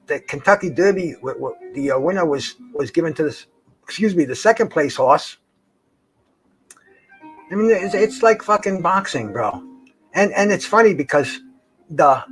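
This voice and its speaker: An older man, in his sixties or beyond, hosting a casual live stream in slangy, profane, halting speech with repeated words. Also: on the high side, a bit bright, poor audio. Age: 60s or older. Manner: slangy, profane, says "man" and "bro" often. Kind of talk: rambling casual chat to livestream viewers. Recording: jazz music playing behind the voice. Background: jazz concert recording.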